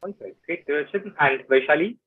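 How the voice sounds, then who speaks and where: A man's voice: fairly high pitch, fairly quick, slightly rough. Male moderator on a tinny call line. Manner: brief, inviting handovers. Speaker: panel moderator. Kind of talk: moderating an online panel. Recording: tinny phone-like call audio.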